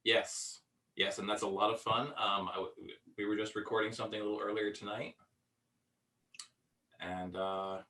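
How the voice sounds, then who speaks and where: A man with a low voice talks casually as an interviewed actor. He is halting, restarting his sentences, and sounds set back from the microphone. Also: level tone, sharp tone. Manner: halting, stammers over sentence starts. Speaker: voice actor and director being interviewed. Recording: distant from the microphone.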